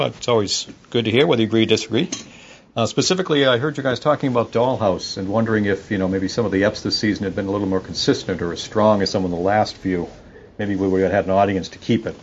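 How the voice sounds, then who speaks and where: A man giving casual, opinionated review commentary as a podcast listener sending in feedback. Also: fairly low pitch. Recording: dull lo-fi audio with faint noise.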